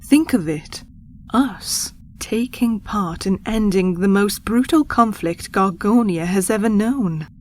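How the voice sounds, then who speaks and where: Casting: actress as composed female alien pilot, principled and kindly, mid-pitched, articulate, sci-fi audio drama, faint background noise. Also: takes it slowly, darkish tone, close to an everyday voice. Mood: earnest, idealistic. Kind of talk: science fiction audio drama acting.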